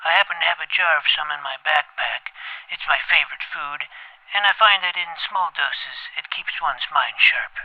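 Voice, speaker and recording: A man playing a pompous, precocious twelve-year-old boy detective in an audio drama, formal and wordy, with a creaky voice. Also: fairly high pitch, moderate pace, sharp tone, no echo, dull audio. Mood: inquisitive. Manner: formal, verbose, clipped interrogator's delivery. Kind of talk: scripted audio drama acting.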